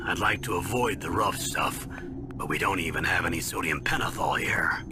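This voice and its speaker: A man's voice: deep voice, moderate pace, noisy background, close to an everyday voice. A man playing a reluctant older army colonel in a video game, sober and regretful in an official manner. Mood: grave, regretful.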